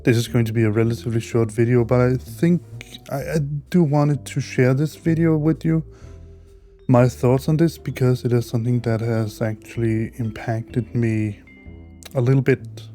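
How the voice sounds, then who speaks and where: A man with a Danish accent hosting his own channel, talking through his views slowly, with lots of hesitations and restarts. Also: mid-range voice, fairly even intonation, mellow, soft background music. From Denmark. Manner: hesitant, many restarts and fillers, careful wording. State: tired, recording in the early morning. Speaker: channel host. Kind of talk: casual solo commentary vlog.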